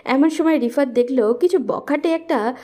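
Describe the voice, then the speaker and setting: A woman's voice: sits high, normal speed, mellow. A woman narrating a scripted romantic story.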